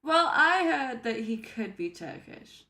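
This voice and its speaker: A woman hosting a reaction video, chatting casually in a playful manner with quick asides. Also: high-pitched, sing-song, bright, forceful tone, soft background music. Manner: chatty, playful, wanders between hype and mumbled asides. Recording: roomy echoey room.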